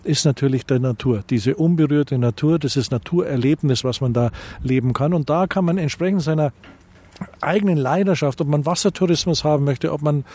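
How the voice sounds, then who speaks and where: Bavarian-accented man, middle-aged, dark mellow tone, halting speech full of fillers. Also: fairly high pitch, husky. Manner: hesitant, many fillers and restarts. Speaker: tourism association president. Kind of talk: interview answers.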